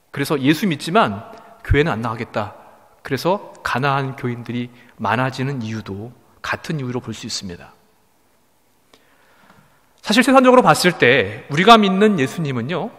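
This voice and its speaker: A man preaching a sermon as a pastor in formal, deliberate phrasing, explaining point by point. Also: mid-range voice, fairly bright tone. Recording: long hall reverb.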